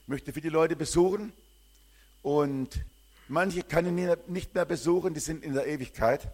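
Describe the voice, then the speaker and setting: An older man from southern Germany speaks to a church congregation as a missionary, sharing his life in a loose, rambling way. He pauses and hesitates often. His voice sits somewhat high for a man. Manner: warm, rambling, with frequent hesitations. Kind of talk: church greeting and personal testimony.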